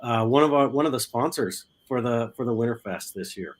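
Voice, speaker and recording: A man with a slightly hoarse voice from a cold, speaking as a utility company guest in a casual, halting way. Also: mid-range voice. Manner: casual, halting, with fillers and repeats. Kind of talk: guest interview.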